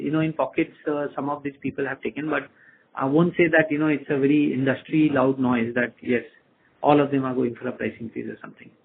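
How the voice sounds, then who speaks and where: A man with an Indian accent and a husky voice, answering analysts on an earnings call as a company executive, hesitantly and with repeated phrases. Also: fairly high pitch. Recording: muffled narrow phone conference line.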